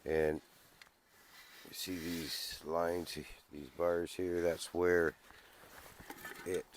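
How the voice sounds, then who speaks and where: A man's voice: low-pitched, level tone, extremely slow, a little hiss or hum. A man with a congested voice from a cold, chatting casually to camera in the woods while he sets up camp gear. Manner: rambling, easygoing, thinks aloud. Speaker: outdoor vlogger. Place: outdoors in the woods.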